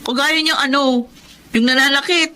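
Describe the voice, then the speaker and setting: Blunt young Filipino friend, radio drama, high pitch, bright forceful tone, sarcastic. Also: female voice, fairly even intonation, normal speed, slight background noise, poor audio. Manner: sassy, sarcastic, rhetorical questions.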